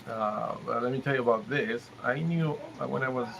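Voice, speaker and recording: Gravelly man. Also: medium pitch, crowd noise, low-quality recording. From Mexico. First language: Spanish. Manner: halting, with frequent restarts and pauses. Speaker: live stream host. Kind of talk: casual live stream chat. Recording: noticeable background noise.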